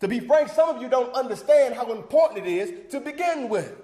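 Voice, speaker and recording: Stage monologue: male actor playing an older male civic leader, very high-pitched voice, preacherly and emphatic, big reverberant hall sound. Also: expressive, brisk, warm tone. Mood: chiding. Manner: preacherly, emphatic, folksy, rousing cadence. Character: John Wesley Dobbs, fervent older civic leader rallying a church crowd. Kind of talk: theatrical monologue, oratorical speech. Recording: echoey hall with long reverb.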